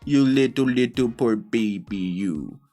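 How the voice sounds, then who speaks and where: A man's voice: medium pitch, a little slow, mellow, soft background music. A man hosting a reaction video, commenting bluntly and sarcastically on clips.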